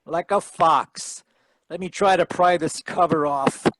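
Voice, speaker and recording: An actor playing a wisecracking hard-boiled private detective in a 1940s radio style, with a wry, glib manner. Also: male voice, a little high, fairly even intonation, a little slow, a bit bright, no echo, rough recording.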